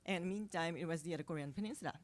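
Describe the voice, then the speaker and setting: A woman's voice: fairly low pitch, very fast. A Japanese woman in her fifties, a non-native English speaker, giving hesitant press conference remarks at a podium microphone with many fillers and restarts. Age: 50s. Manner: hesitant, many fillers and restarts, soft-spoken with nervous laughs.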